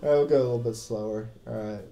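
A man's voice: mid-range voice, fairly even intonation, quick pace, dark tone, reverberant, faint music behind. A young man, a bit drunk, rambling and joking while playing a video game with a friend. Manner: joking, rambling, laughs often. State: tipsy. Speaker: game commentator. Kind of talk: drunk casual let's play banter.

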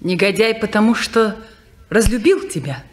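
A woman's voice: medium pitch, normal speed, slight background noise, lightly acted. An actress playing an earnest, searching, headstrong young woman in a 1950s radio play, questioning and insistent. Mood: pensive.

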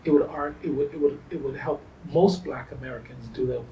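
A middle-aged man being interviewed, speaking in emphatic, drawn-out phrases. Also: medium pitch, dark tone, echoey room, distant mic, a little hiss or hum, rough recording. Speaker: interview guest. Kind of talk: reflective interview talk. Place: indoors against a pale wall.

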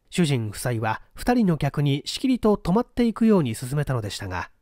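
Lively, dry-sounding male story narrator. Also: a little high, dry recording. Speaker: audiobook narrator, small-theatre stage actor. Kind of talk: scripted narration, mystery novel read aloud.

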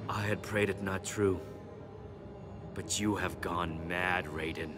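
Anguished, slow-spoken young warrior. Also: male voice, low voice, fairly even intonation, music in the background. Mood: bitter, grieving. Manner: intense, bitter, accusing. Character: anguished, embittered young male warrior monk. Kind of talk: dramatic video game voice acting.

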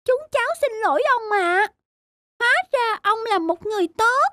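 Very high, childlike cartoon squirrel. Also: female voice, normal speed, no room sound, full character voice. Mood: apologetic. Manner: childish, excitable. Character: small, childlike, mischievous young squirrel. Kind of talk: cartoon voice acting. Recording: faint music behind.

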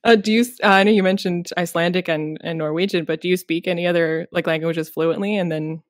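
Young woman host on a video call, dry sound, chatty, thinks out loud. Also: on the low side, fairly even intonation, fast, dry recording. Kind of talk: casual interview chat. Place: home room with bookshelves.

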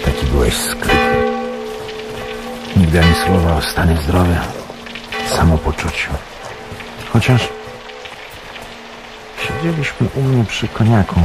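Mourning old man, radio drama monologue, deep voice, prominent music with bell and rain. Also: animated, unhurried, pauses often. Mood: sorrowful musing. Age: older. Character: grieving old man addressing his departed friend. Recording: loud music, bells and rain behind.

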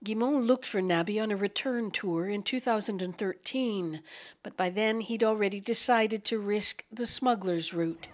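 A woman correspondent narrating a scripted news report in a measured, solemn manner. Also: mid-range voice. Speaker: foreign correspondent.

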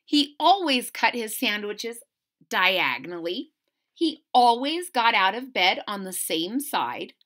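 A middle-aged woman reading a picture book aloud to children, expressive and deliberate, pausing to question her listeners. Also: medium pitch. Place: small indoor room at home.

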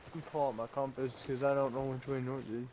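A man's voice: medium pitch, little pitch movement, fast, warm tone. A man chatting casually as he plays a video game alongside a partner. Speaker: co-op gaming partner. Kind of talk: casual gaming chat. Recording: noisy game audio with boat ambience. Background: game sounds of boat and water.

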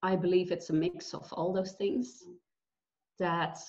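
A woman from Belgium in her forties, a guest telling her story with pauses and fillers over a video call from home. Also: fairly low pitch, steady tone, darkish tone. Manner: earnest, reflective, with many pauses and fillers. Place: bright room at home. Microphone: video call webcam. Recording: dull lo-fi video call audio.

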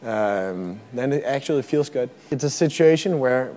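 Mellow, soft-spoken Danish man. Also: mid-range voice, expressive, moderate pace, faint music behind. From Denmark. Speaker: violinist. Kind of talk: interview answers. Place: museum gallery.

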